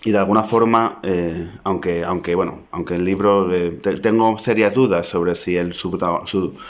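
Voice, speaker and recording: A man's voice: sits low, steady tone, normal speed. A man in his forties with a Spanish accent, an author talking to camera about his book in a reflective, modest way, thinking aloud as he goes. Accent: Spanish (Spain). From Spain (Zaragoza). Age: 40s. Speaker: poet and author.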